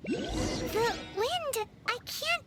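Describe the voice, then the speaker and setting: A woman voicing a tiny, childlike, excitable fairy companion in a video game, with a squeaky voice and naive, exclamatory delivery. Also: extremely slow, soft background music, full character voice. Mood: excited, curious. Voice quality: very high, squeaky. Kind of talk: video game character acting. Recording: loud game sound behind voice.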